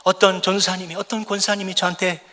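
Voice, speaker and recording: A man who is a missionary, hoarse from a lingering cold, giving a fervent, impassioned mission report in church. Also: sits high, flat delivery, quick pace. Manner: fervent, impassioned preaching that swells to a shout. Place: church sanctuary. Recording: reverberant church hall.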